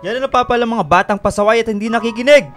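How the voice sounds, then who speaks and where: An irritable, abusive stepfather in a radio drama. He talks very quickly in a gruff, defensive way, with soft music playing underneath. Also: very high voice. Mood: harsh scolding. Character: cruel, irritable abusive stepfather making excuses.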